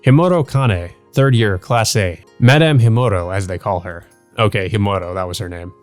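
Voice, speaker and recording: A man's voice: on the low side, moderate pace. Man casually narrating a game over music. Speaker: let's play host. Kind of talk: reading a visual novel aloud with casual commentary.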